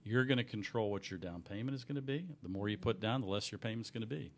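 A middle-aged man, a little hoarse, explaining loans as a guest on a radio talk show in a small studio with a desk mic. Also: fairly low pitch, fast, slight background noise. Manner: earnest, explanatory, many restarts. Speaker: mortgage company owner, guest. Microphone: desk microphone on boom.

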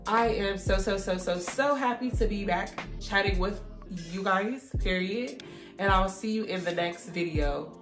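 Young woman vlogger, hoarse and stuffy, preachy and encouraging, roomy echo, soft music under. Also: mid-range voice, a little slow. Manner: encouraging, preachy, addresses viewers directly. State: hoarse and congested. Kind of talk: casual faith-filled catch-up talk.

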